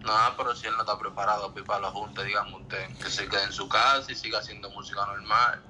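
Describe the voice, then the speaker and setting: A man's voice: on the low side, level tone, fast, crowd noise. A man with a Dominican accent arguing defensively over a phone on speaker, repeating himself in short bursts. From Dominican Republic. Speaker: prank call victim. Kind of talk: argumentative phone conversation. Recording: noisy, harsh phone call over speaker.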